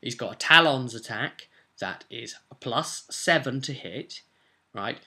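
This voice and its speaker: Bright-voiced young man, casual and emphatic. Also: medium pitch, animated, measured pace, gravelly. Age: young adult. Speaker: game master presenting a session recap. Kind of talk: casual explanatory vlog about a tabletop role-playing game. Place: small cluttered room at home, indoors.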